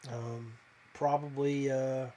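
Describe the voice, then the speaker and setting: A man hosting a casual fishing podcast, talking off the cuff in a halting way and speaking straight to his listeners. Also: medium pitch, little pitch movement, dark tone, a little hiss or hum. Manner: halting, conversational, addresses listeners directly. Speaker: podcast host. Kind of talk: casual live fishing talk and commentary.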